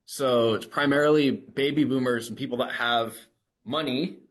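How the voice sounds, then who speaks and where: A man's voice: medium pitch, steady tone, normal speed. A man co-hosting a podcast in casual conversation, earnestly warning about scams and acting out what scammers say. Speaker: podcast co-host.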